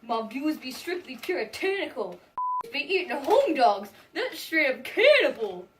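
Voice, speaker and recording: A man's voice: extremely high pitch, big pitch swings, measured pace, voice pushed up, heavily acted. A man voicing a sassy, incredulous puppet husband in exaggerated, drawling street slang. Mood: indignant. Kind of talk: comic puppet-show acting. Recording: distant microphone, noisy room.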